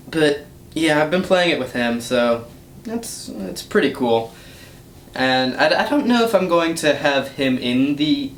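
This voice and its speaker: A young man giving a casual, rambling update as host of a gaming channel, recorded indoors in a small room. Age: young adult. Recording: roomy echo, low rumbling noise.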